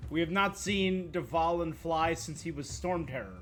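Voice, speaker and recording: A man's voice: fairly high pitch, a little slow, background noise. A man streaming a game, reacting casually in short, offhand comments.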